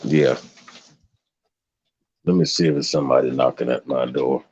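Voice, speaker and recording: Deep-voiced man on a dull stream. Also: little pitch movement, normal speed, a little mellow, husky. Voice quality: deep bass voice. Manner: ranting, preachy asides. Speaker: stream host. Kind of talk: casual livestream chat.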